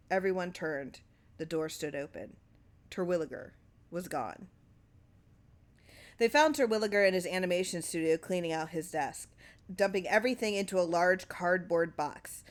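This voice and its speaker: Woman, slightly low-pitched voice, scripted fiction narration, story reader. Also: normal speed.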